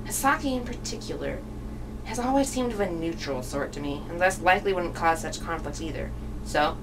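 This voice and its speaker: A woman voicing a proud, reserved female witness in a puppet show, with formal, measured wording. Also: on the low side, lively intonation. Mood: defensive, composed. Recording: distant microphone, noisy room.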